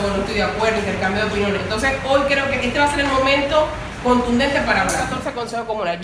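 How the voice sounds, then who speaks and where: A woman with a Venezuelan accent addressing a community assembly in a firm, direct, challenging manner. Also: on the high side. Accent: Venezuelan Spanish. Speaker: community meeting speaker. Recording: very echoey hall, distant mic, noisy.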